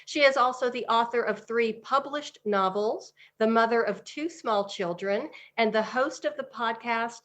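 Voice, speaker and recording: A middle-aged woman hosting an interview podcast, warmly enthusiastic and effusive in her praise for her guest. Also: fairly high pitch. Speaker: podcast host.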